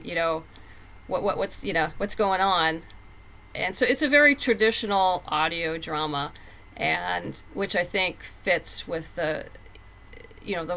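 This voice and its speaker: A woman's voice: lowish voice, pushed and bright, a little hiss or hum, rough recording. A woman talking casually as an interview guest, explaining things in a rambling way with self-interruptions. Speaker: podcast guest, comics writer.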